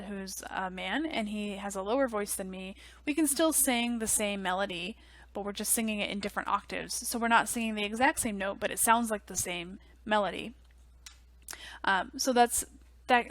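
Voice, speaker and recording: A young woman teaching music theory in a relaxed, chatty way, full of ums and likes, on a headset mic in a small office. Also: medium pitch, no echo. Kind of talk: casual online lecture.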